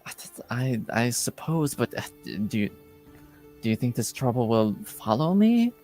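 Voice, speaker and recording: A man's voice: mid-range voice, very animated, measured pace, dark tone, faint music behind. A man voicing a timid, worried man who stammers and hesitates in a tabletop roleplaying game. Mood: anxious, bewildered. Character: nervous, timid man, worried and easily frightened.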